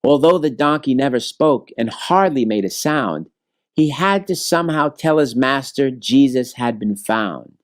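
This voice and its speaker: A man reading a rhyming children's story aloud in an expressive, measured storytelling manner. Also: mid-range voice, dry recording. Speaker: storybook reader.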